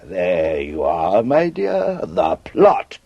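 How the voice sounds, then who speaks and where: A man's voice: medium pitch, wildly swooping pitch, drawn-out words, vocal fry, no echo, highs cut off, full character voice. An actor playing a bumbling, amiable older English husband and father who stammers and puts on pompous man-of-the-world airs in a radio farce. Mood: blustering, dithering. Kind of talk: stage farce acting for radio.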